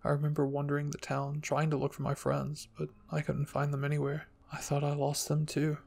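Husky, gravelly man reading a story. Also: on the high side, completely flat delivery, fairly quick, darkish tone. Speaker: fan fiction reader and narrator. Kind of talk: scripted first-person story narration, ASMR roleplay reading.

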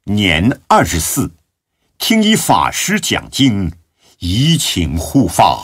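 Deep, very slow male narrator, husky. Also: huge pitch swings, a bit bright, dry recording, clean studio sound. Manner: measured, dramatic recitation. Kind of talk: solemn scripted narration of classical religious biography.

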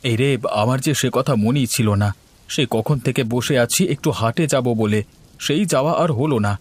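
A man's voice: mid-range voice, normal speed, dry recording, close to an everyday voice. A man playing a fretful, hospitable village householder in a cartoon, homely and exclamatory in his talk. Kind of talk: cartoon dialogue.

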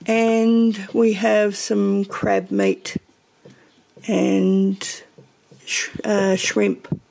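Slow, gravelly woman touring her pantry. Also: mid-range voice, darkish tone. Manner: slow, meandering, thinks aloud with fillers. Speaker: homesteading vlogger. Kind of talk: casual pantry tour narration. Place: home pantry, indoors.

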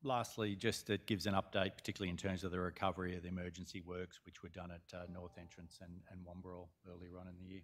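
A man, a council officer, briefing the meeting on a report in a measured way with fillers, in a large council chamber. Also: sits low, level tone.

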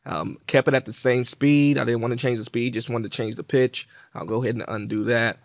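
A man presenting a software tutorial in an informal, conversational way, explaining things step by step. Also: mid-range voice, fairly even intonation, fairly quick, no echo, clean studio sound. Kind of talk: casual software tutorial walkthrough.

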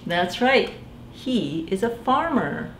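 A woman in her forties, a children's librarian, reading a picture book aloud in a gentle sing-song way and asking the children to guess. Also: on the high side, very animated, slow, echoey room, background noise. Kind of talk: storytime read-aloud for young children. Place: small library room indoors.

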